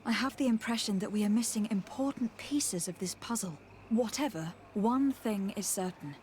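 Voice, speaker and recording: An actress playing a composed young noblewoman diplomat in a video game, speaking in a measured, formal, earnest way. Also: mid-range voice, a little mellow, a little hiss or hum, lightly acted. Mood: pensive. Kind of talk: video game cutscene acting.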